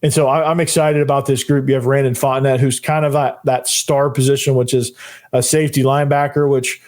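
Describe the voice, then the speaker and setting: A young man with a hoarse, fading voice hosting a solo sports podcast, fired up and rambling, repeating himself and tossing in "man" a lot. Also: mid-range voice, little pitch movement, fairly quick, a little mellow. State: losing his voice, hoarse. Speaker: podcast host.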